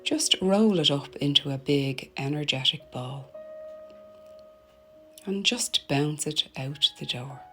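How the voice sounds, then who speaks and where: Very deep-voiced woman guiding meditation. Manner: soothing, gentle, deliberate with soft phrase endings. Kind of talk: guided meditation narration. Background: meditation music.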